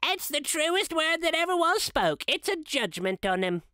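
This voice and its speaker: A fawning laundress from a storybook, played by a man, high and gossipy. She stretches her words to agree and ingratiate herself. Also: sing-song, sharp tone, no echo, clean studio sound, exaggerated voice. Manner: ingratiating, drawn-out emphasis.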